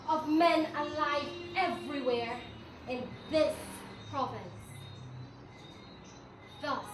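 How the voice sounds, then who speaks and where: A young woman, a student, giving a declamatory poetry recital at a live event. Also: high voice. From Guyana. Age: young adult. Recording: echoey, distant room with clear noise.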